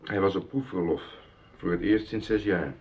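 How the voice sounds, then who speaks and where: Formal, matter-of-fact police sergeant. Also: male voice, sits low, normal speed, gravelly, darkish tone. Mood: polite, official. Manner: formal, official, polite. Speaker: radio drama actor. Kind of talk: Dutch radio play dialogue. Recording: dull lo-fi radio play recording.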